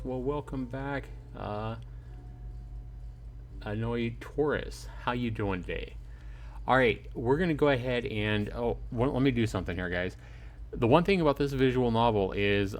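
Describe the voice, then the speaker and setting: A man hosting a casual livestream, chatting informally and in a friendly way, addressing viewers by name. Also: lowish voice. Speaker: livestream host. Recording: clearly noisy home livestream.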